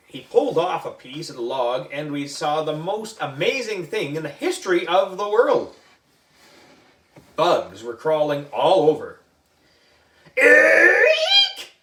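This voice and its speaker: A man reading a children's story aloud in an animated narrating style. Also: a little high. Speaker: book club reader. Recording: echoey room, distant mic.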